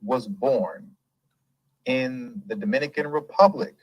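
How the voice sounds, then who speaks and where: Animated man hosting a commentary show. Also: medium pitch, slow, vocal fry, breathy edge, no echo, poor audio. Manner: animated, laughs often, emphatic and digressive. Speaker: host. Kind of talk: casual political commentary show.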